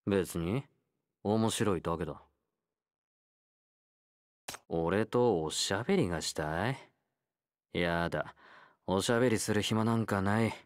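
Low-voiced laid-back slacker convict. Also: male voice, slow, long pauses, no room sound, studio quality. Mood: bored, dismissive. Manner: nonchalant, blunt, drawling. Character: laid-back, slacking young male convict fighter, blunt and teasing. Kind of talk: Japanese game character voice lines.

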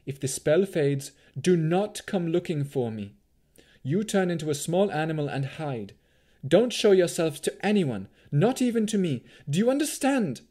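A man's voice: fairly high pitch, big pitch swings, moderate pace, mellow, voice pushed up. A man voicing a protective sorceress mother in a fantasy story, urgent and commanding, in a raised, strained voice. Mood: urgent, fearful. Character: desperate protective sorceress mother urging her small daughter.

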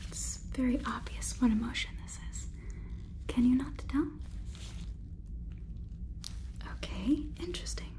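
A woman's voice: sits high, moderate pace, dark tone, close to an everyday voice. A woman in her twenties voicing a polite, faintly condescending female AI robot overlord in a whispered ASMR roleplay, with stilted, soothing phrasing. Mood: quizzing, deadpan. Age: 20s. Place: small room indoors.

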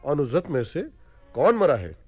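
A solemn, gossiping palace servant from a radio play. His voice sits fairly high and moves up and down a lot, over faint music. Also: wildly swooping pitch, normal speed, slightly rough, full character voice. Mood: solemn, busybody.

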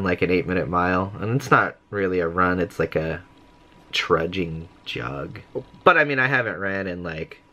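A man's voice: low voice, bright, forceful tone. A young man vlogging from his small bedroom, talking casually and rambling close to the camera with self-mocking humor. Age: young adult. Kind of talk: casual daily vlog chat. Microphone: camera close to face.

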